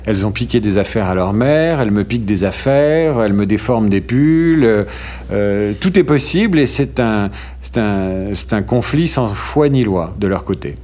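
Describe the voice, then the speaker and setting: Low-voiced man, hesitant and musing. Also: expressive, a little mellow. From France. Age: middle-aged. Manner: thoughtful, many "euh" pauses and restarts, dry humor. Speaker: interview guest. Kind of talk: hesitant conversational interview.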